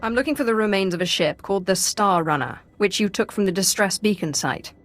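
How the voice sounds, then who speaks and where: A woman's voice: medium pitch, lively intonation, fairly quick, natural voice. A woman playing a self-assured Sith in a video game, composed and coolly persuasive. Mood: cool, inquiring. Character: poised, self-assured female Sith, smoothly charming and persuasive. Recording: music behind the voice.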